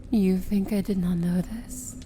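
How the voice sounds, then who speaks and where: A woman playing a proud, wry yet tender princess of evil in an intimate ASMR fantasy roleplay, with a theatrical, hushed delivery. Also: mid-range voice, steady tone, slight background noise, lightly acted. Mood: tender, knowing. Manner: theatrical, deliberate, often dropping to a hushed whisper.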